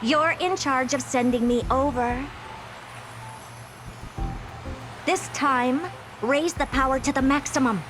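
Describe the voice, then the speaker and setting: Haughty genius scientist played by a woman, higher-pitched, theatrical and condescending, for a game with music behind her. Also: moderate pace, close to an everyday voice. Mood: commanding. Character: haughty, playful genius woman researcher, theatrical and self-assured. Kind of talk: video game voice acting.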